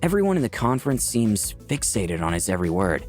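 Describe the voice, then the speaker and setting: A man's voice: on the low side, big pitch swings, fairly quick, a little mellow, soft background music. A man reading as a flirty, cocky personification of Lust, narrating a visual novel in a musing, theatrical way. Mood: playful, curious. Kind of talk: first-person visual novel read aloud.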